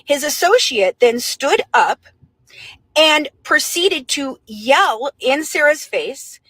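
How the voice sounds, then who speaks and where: High voiced lady doing a dramatic update vid for her followers, dry sound. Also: expressive, takes it slowly, a bit bright, dry recording, low-quality recording. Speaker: online show host. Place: outdoors by a courthouse.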